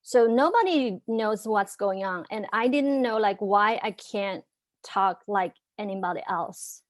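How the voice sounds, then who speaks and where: A young woman with a Chinese accent tells her own story, stuttering heavily, with long runs of repeated sounds and many fillers. Her voice sits at an ordinary middle pitch for a woman. The recording is close and dry, with almost no room sound. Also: animated, a little slow, dry recording. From China. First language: Chinese dialect and Mandarin. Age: young adult. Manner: frequent fillers, earnest and candid. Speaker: panelist. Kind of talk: personal story on a video panel.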